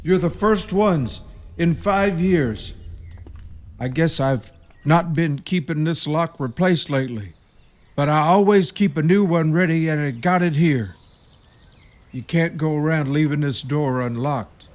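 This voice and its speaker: Slow, eerie rural caretaker played by a man, echoing. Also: a little high, darkish tone, lightly acted. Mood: folksy, wary. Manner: halting, ominous, folksy storytelling. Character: eerie rural male caretaker of a haunted tomb. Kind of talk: radio play horror storytelling. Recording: reverberant radio drama, audible background noise.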